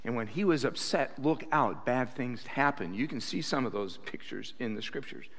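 An older man delivers a sermon from a church pulpit into a stand mic. His voice sits at a middle pitch, and his delivery is animated, swinging from quiet remarks to loud emphatic quotes. The room behind him rings with a long, hall-like reverb. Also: moderate pace. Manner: animated preaching with sudden loud acted quotes. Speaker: pastor. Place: church sanctuary stage at a pulpit.

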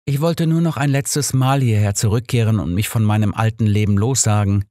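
A man voicing an embittered woman who makes a soft, measured confession of murder in an audiobook. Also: lowish voice, no echo, studio quality, close to an everyday voice. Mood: resigned.

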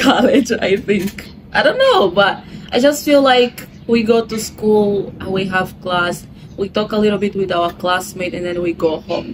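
A young woman from Angola, a guest in a casual interview, talking in a relaxed, thoughtful way with soft laughs. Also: mid-range voice. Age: young adult. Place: indoors, room with a red wall and a barred window. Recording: noisy home video.

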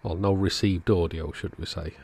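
A man, host of an electronics repair video, calmly thinking aloud as he methodically works on a radio at his workbench. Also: low-pitched, normal speed, gravelly, husky. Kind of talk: casual narrated repair walkthrough.

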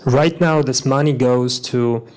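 A man's voice: mid-range voice, unhurried, darkish tone. A man in his thirties giving businesslike panel answers into a handheld mic in a conference hall, with many fillers and restarts. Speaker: government minister.

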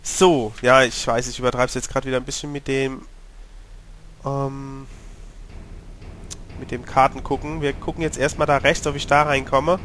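A German-speaking man with a cold, slightly hoarse, giving chatty commentary while playing a horror game and talking to his character. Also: medium pitch, little pitch movement, normal speed, long pauses, a bit bright, a little hiss or hum. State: has a cold, clearing his throat. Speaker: let's play gamer. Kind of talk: casual gameplay commentary.

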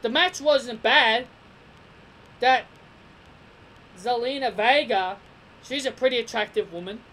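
A young man giving a casual wrestling review from his bedroom, excitable and repeating himself with stammering restarts, recorded on a desk webcam. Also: extremely high pitch, takes it slowly. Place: small bedroom. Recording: noisy home webcam recording.

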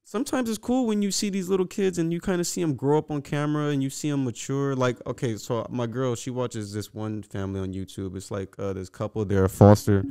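Smooth, mellow young man chatting casually. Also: medium pitch, steady tone, brisk, hardly any pauses. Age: young adult. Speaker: podcast co-host. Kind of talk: casual podcast chat. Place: small indoor podcast room. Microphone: close podcast microphone on boom arm.

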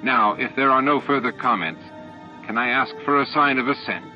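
A man voicing a hypocritical alien leader with measured, formal, falsely regretful speech in an audiobook. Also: medium pitch, lively intonation, pitched above the performer's own voice, close to an everyday voice. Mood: firm, solemn. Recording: dull lo-fi tape audio with music.

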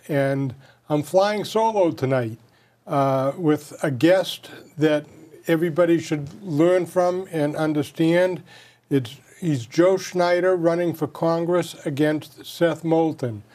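A man's voice: a little high, slow, a little mellow. An older man hosting a local TV interview show, asking questions in a folksy, conversational way from a studio set. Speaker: TV show co-host. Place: dark studio set, seated at table.

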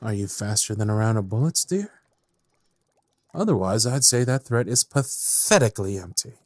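A man playing a menacing evil scientist in an audio drama, taunting with mock politeness in a rough, husky voice. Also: on the low side, animated, normal speed, reverberant, natural voice. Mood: mockingly amused. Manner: mockingly polite, theatrical, taunting. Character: smug, menacing male evil scientist villain. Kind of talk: audio roleplay drama acting.

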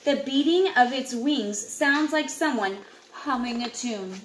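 A woman in her thirties reading a children's nature book aloud at home in an expressive way, with asides to young listeners. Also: high voice, a little slow. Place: indoors, open living room and kitchen at home. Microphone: camera a short distance away. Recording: echoey room with clear background noise.

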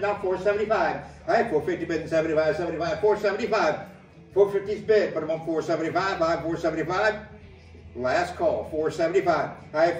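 A man working as an auctioneer, calling live bids in a rhythmic, repetitive chant of numbers. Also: a little high. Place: indoor metal-walled storage facility corridor. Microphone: camera several metres away. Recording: echoey storage hallway, distant microphone.

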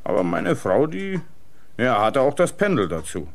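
An actor playing a gruff, plain-spoken master butcher in a German radio crime play, chatting in a grumbling manner. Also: male voice, mid-range voice, big pitch swings, moderate pace.

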